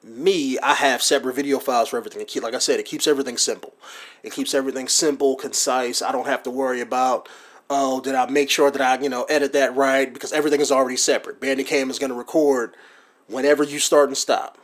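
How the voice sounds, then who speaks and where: A man's voice: mid-range voice, steady tone, fast talker. Man rambling through a casual editing tutorial. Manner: rambling, with frequent ums, likes and you-knows. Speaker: gaming YouTuber explaining his editing setup. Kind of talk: casual screen-recorded tutorial. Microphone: USB desktop mic.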